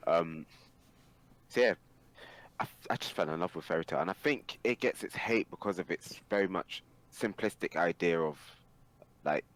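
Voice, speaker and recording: A man's voice: deep voice, moderate pace, poor audio. A man talking casually and emphatically on a podcast as an opinionated, sweary anime fan. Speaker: podcast guest or co-host.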